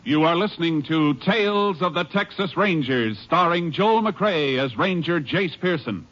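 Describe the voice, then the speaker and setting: A man's voice: a little high, expressive, unhurried, fairly bright tone, soft background music. A man announcing a 1950s radio drama in a formal style. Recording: dull old broadcast.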